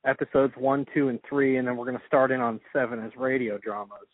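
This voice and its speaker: A man's voice: medium pitch, steady tone, dry recording. Hesitant male interviewer, tinny phone sound. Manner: hesitant, halting questions. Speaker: interviewer, podcast host. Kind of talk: casual interview.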